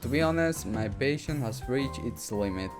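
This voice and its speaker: A man plays a stern wife in a video game, fed up and commanding. The scolding is formal and measured, at a middling pitch. Music runs clearly behind the voice. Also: expressive, close to an everyday voice.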